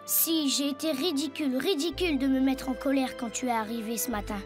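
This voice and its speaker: Woman as sincere cartoon schoolchild, high voice, French accent from France, music underneath. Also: fairly even intonation, brisk, lightly acted. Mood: sheepish. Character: cartoon school child Martin, turned girl, earnest and kind. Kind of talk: French animated series dialogue.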